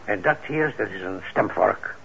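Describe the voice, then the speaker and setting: An actor in a radio play as a kindly, trusting middle-aged shopkeeper, speaking gently and haltingly with patient encouragement. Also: male voice, lowish voice, lively intonation, vocal fry, exaggerated voice. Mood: encouraging. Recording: dull, noisy old radio recording.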